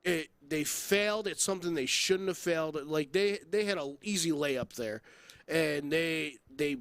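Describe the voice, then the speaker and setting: A man's voice: on the high side, moderate pace, a bit bright, clean tone, no echo. A man co-hosting a podcast in casual, opinionated banter, blunt and given to exaggerated comparisons and swearing. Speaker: podcast co-host.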